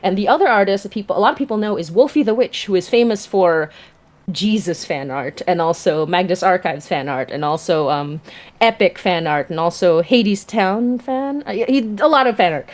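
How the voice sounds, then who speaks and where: Woman guest on a casual stream, gushing and emphatic, medium pitch. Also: animated, normal speed. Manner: effusive, emphatic. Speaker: audio drama creator, guest. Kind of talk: casual livestream chat.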